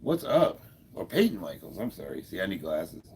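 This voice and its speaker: A man in his fifties with a deep, gravelly voice, stuffed up, chatting casually on a livestream and answering viewer comments with frequent chuckles. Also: wildly swooping pitch, normal speed, a little mellow. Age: 50s. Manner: laid-back, chuckles often, many fillers. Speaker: livestream host.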